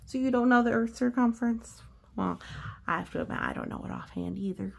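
A woman's voice: fairly high pitch, brisk, creaky. A woman reading a storybook aloud to children, adding reassuring asides and explaining the pictures. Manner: reassuring, talks directly to child listeners. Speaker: storybook reader.

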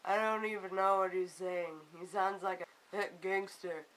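A woman's voice: mid-range voice, little pitch movement, normal speed, a bit bright, poor audio. A young woman making puzzled, wry comments in a garage at night.

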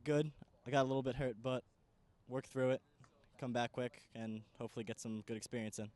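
A man's voice: mid-range voice, little pitch movement, a little fast, darkish tone. A young man from New Jersey, a bit hesitant, answering into a handheld mic on an outdoor soccer field. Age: young adult. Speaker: college soccer recruit. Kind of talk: casual on-camera interview.

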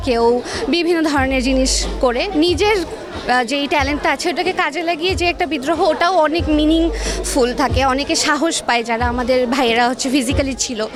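Female interviewee, twenties, Bangladeshi accent, high-pitched, improvised answers at a crowded venue. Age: 20s. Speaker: exhibition visitor. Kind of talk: off-the-cuff vox-pop interview. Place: crowded indoor gallery. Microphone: handheld interview microphone. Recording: clear crowd noise behind.

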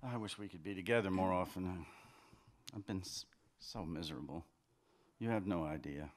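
An older man reading aloud as an insecure, needy married man, speaking softly and pleadingly into a table mic. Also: sits low, moderate pace. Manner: soft, pleading, quiet. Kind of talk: live fiction reading of dialogue. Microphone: table mic on a stand.

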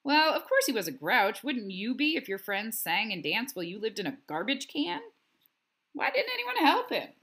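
A woman's voice: on the high side, very animated, moderate pace, a bit bright, husky, exaggerated voice. Woman voicing an awkward, worried young schoolboy. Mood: indignant.

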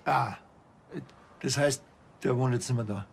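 An actor playing a dry, offhand small-town police officer with a Bavarian accent in a TV crime comedy. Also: male voice, mid-range voice, measured pace, gravelly, a little hiss or hum. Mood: dry, probing. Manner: offhand, laconic.

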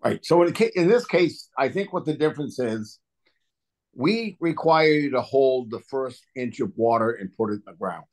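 A man's voice: mid-range voice, lively intonation, normal speed. A man with a mid-range voice speaks at a virtual public hearing. He explains technical review work informally, in plain colloquial language, as an official would. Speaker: water and sewer agency official at a hearing. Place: remote video call.

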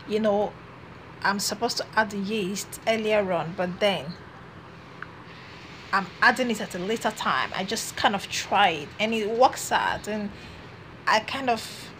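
A woman's voice: medium pitch, a bit bright, slight background noise, low-quality recording. A young woman vlogging to her phone camera from a small room, chatting in a casual, encouraging way and often restarting her phrases. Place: small room indoors, seated on a red couch. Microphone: phone camera at arm's length.

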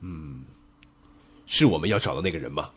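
Brusque, husky police detective. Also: male voice, very deep voice, expressive, moderate pace, fairly bright tone, heavily acted. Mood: grave. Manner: urgent, questioning, terse. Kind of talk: Mandarin radio drama acting.

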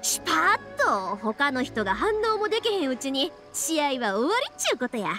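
A woman's voice: high voice, big pitch swings, brisk, music in the background. An actress playing a cheerful, confident young woman in an anime game, bubbly and friendly, with a Kansai accent. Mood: eager, confident. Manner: bubbly, friendly, informal dialect.

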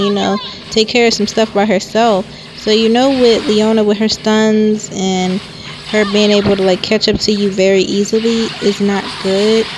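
A young woman narrates her gameplay in a quiet, soft-spoken voice, chatty and peppered with "like." Her mic sits right by her mouth. A clear hiss of background noise runs underneath. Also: medium pitch. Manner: chatty, frequent "like" fillers. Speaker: gameplay commentator. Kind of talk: casual voice-over commentary. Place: lying down at home. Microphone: microphone held close to mouth.

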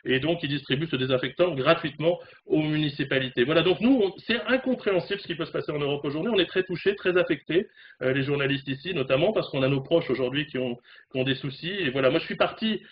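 Fast-talking man on a video call. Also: a little high. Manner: fast, animated, talks with hands. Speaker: correspondent in South Korea. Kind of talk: remote news discussion. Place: home room, indoors. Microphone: video call webcam. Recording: dull video call audio, faint noise.

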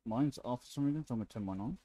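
A man giving a casual, unscripted software tutorial in an informal, offhand way as the presenter. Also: mid-range voice, rapid-fire, dry recording.

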